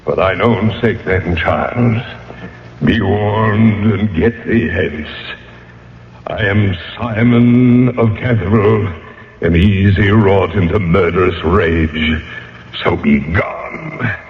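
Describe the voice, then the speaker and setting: A man's voice: on the low side, takes it slowly. An actor playing a vain, wrathful centuries-old nobleman ghost in a radio play, with grand archaic melodrama. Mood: menacing. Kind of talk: old-time radio acting. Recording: dull, noisy old radio recording.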